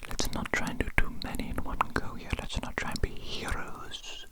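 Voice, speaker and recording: A performer playing a soothing, absent-minded alien doctor in an ASMR roleplay, murmuring in a breathy whisper and repeating little phrases. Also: normal speed, close to an everyday voice. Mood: soothing, preoccupied.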